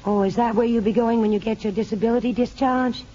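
A woman's voice: fairly high pitch, fairly even intonation, fast talker, dark tone, lightly acted. An actress playing an earnest young woman in a restrained, plain-spoken 1950s radio drama style. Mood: gently teasing. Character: earnest young woman, former prisoner working as nurse's aide. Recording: dull, hissy 1950s radio broadcast.

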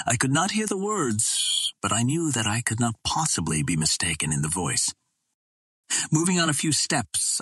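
A man reading an adventure novel aloud as scripted dramatic narration, in a measured, literary storytelling manner. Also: lowish voice, a bit bright, slightly rough, dry recording. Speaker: audiobook narrator.

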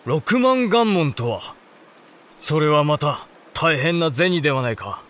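A man's voice: on the high side, very animated, slow, fairly bright tone, exaggerated voice. A man playing a thoughtful samurai clan head in a Japanese historical audio drama, formal and composed. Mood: surprised.

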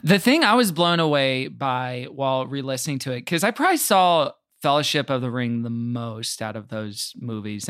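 Male comedian guest, casual and riffing, average pitch, very dry sound. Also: a bit bright, dry recording. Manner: playful, riffing.

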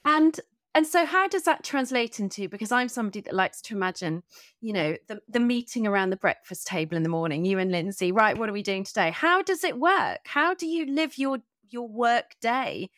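A woman's voice: fairly high pitch, lively intonation, brisk, a bit bright, no room sound, studio quality. A woman hosting a casual interview, musing aloud and asking informal questions in a conversational way. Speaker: podcast host, interviewer.

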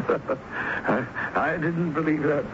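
An actor playing a wry, talkative theatre producer under questioning in an old-time radio drama, with hesitant asides and dry chuckles. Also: male voice, a little high, slow pace. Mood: wry, emphatic. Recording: dull, noisy vintage radio recording.

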